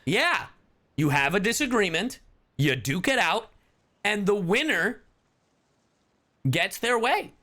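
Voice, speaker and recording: Animated, excitable male game streamer. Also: high-pitched, takes it slowly, pauses often. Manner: excitable, slangy, foul-mouthed. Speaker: livestreamer. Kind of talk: casual live game commentary to chat.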